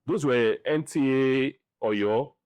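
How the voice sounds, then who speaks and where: A middle-aged man with a Nigerian accent giving opinions in a podcast interview, speaking deliberately and repeating phrases for emphasis. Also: medium pitch, darkish tone. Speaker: interview guest, film director and writer.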